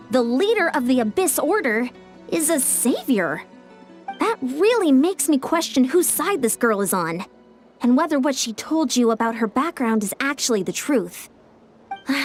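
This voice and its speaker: Earnest, high-voiced young war ally. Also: female voice. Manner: measured, earnest, cautious. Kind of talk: anime-style video game voice acting.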